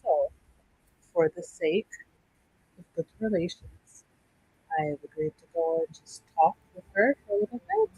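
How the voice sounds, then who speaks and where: A woman playing a dutiful young noblewoman in a tabletop game, speaking formally and with measured, dryly wry wording. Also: fairly low pitch, wildly swooping pitch, a little mellow, highs cut off, a little hiss or hum, full character voice. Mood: formal, guarded.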